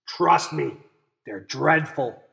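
A man's voice: on the high side, expressive, takes it slowly, creaky, fairly bright tone, heavily acted. A man voicing a jealous, scornful little girl mouse from a picture book, theatrical and exaggerated. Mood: dramatic warning. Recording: roomy, echoey room sound.

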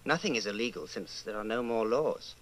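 An actor playing a brooding, fearful middle-aged secret rebel, speaking an intense, halting inner monologue in a radio drama. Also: male voice, on the low side, animated, a bit bright. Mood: anxious. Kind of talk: old-time radio dramatization, interior monologue.

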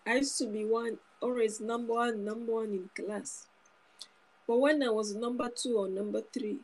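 Husky woman preaching earnestly to camera. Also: fairly high pitch, low-quality recording. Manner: earnest, repetitive, restarts phrases. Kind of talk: informal sermon to camera. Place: small indoor room. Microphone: phone camera close up.

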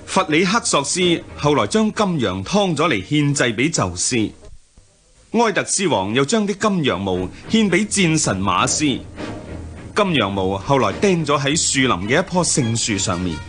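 Heroic young prince for radio drama: Hong Kong Cantonese, earnest, declaiming, lively intonation over faint music. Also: male voice, mid-range voice, measured pace. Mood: resolute. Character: noble, upright young prince and hero.

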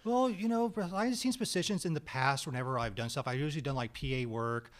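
A man answers interview questions in a small studio, close to a desk stand mic. He talks casually and haltingly, restarting his sentences as he rambles along. His voice sits at an ordinary, middling pitch. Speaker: guest actor and screenwriter.

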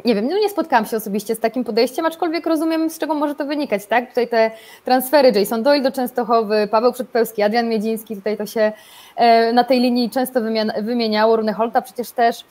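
A woman in her thirties with a fairly high voice talks sport in a casual way. She sounds like a presenter chatting over a video call from a room at home. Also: steady tone, fast talker, a little mellow. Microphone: video call microphone.

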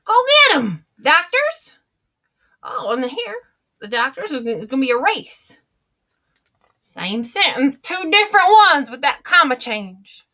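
A high, bright-voiced old granny, put on by a woman, drawling slowly through a picture book in a homespun, folksy way. She lingers on every word, chatty and theatrical. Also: sing-song, heavily acted. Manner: chatty and theatrical, gasping and exclaiming. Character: folksy old Southern grandma reading aloud. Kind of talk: storybook read-aloud.

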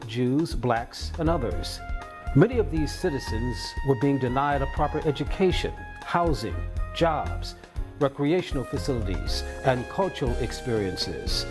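A man voices a documentary narration, reading lists in a steady cadence. His pitch moves in a lively way around a medium voice. Guitar music plays clearly underneath. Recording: voice-over with music bed.

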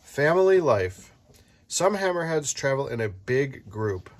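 A man's voice: mid-range voice, sing-song, unhurried, slight background noise. A man reading a children's book aloud with casual, playful chat, explaining things simply to a child. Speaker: parent reading aloud and host. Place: indoors at a tabletop, book filmed from above.

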